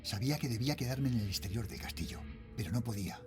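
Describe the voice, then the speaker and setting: A man playing a frightened father and night guard, telling his horror story in a Spain accent with tense, dramatic delivery and hushed phrase endings. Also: medium pitch, animated, quick pace, music in the background, close to an everyday voice. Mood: haunted, fearful. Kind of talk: scripted first-person horror narration, audio drama.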